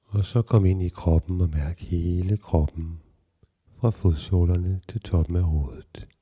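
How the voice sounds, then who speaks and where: A man guiding a relaxation meditation in a calm, measured, instructional way with long pauses. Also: low voice, husky, dull audio. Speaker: yoga nidra guide.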